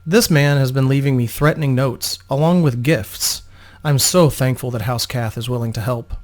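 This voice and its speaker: A man's voice: mid-range voice, moderate pace, natural voice. A man voicing a young female opera singer, polite, grateful and slightly anxious, in a storybook reading. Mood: worried, grateful.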